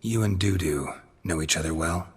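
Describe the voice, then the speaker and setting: An actor playing a gruff, laconic monster hunter in a video game, with a gravelly, husky voice and a terse, dry manner. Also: male voice, sits low, normal speed. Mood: dry, calm.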